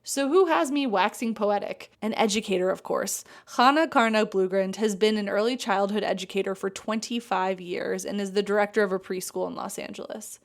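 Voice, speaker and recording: A woman's voice: medium pitch, fairly quick, few pauses. Frank, casual woman host. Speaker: podcast host. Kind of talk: casual conversational interview.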